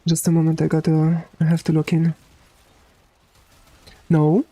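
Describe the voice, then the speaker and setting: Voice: mid-range voice, unhurried, dry recording. An androgynous-sounding streamer with a Romanian accent, chatting casually with viewers and swearing freely. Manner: relaxed, swears casually, many fillers. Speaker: game streamer. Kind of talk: casual chat while streaming a game.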